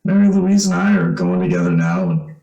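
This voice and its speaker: Earnest kid-like young guy played by a man, old radio suspense drama, creaky voice, roomy echo. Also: deep voice, wildly swooping pitch, brisk, warm tone, lo-fi sound, full character voice. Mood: sheepish, relieved. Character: earnest boyish young man who trapped his would-be killer. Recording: roomy, echoing amateur radio play.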